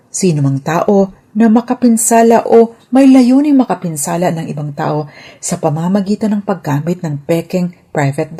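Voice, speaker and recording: Low, husky Filipino woman explaining formally. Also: warm tone. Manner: formal, enumerating, emphatic on key points. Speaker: legal explainer and host. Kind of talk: scripted legal explanation.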